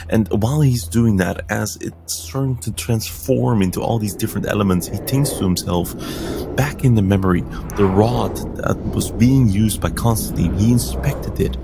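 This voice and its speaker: A man's voice: on the low side, vocal fry, warm tone, a little hiss or hum. A man playing a tabletop game, narrating his character's actions in an intense, theatrical way. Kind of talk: dramatic third-person game narration.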